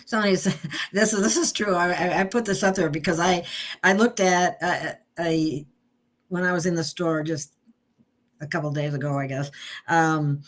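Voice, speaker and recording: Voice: medium pitch, fairly even intonation, normal speed. A show host chatting casually, rambling and laughing often between remarks. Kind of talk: casual online chat.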